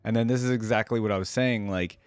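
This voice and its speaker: A man's voice: fairly low pitch, fairly even intonation, fast, smooth, dry recording. A man giving casual, informal reaction commentary as a video host, musing aloud as he goes.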